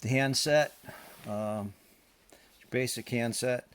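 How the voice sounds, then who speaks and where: A man giving an informal hands-on demonstration as a radio hobbyist, speaking haltingly and often hesitating. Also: mid-range voice, background noise. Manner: halting, hesitant, throat-clearing.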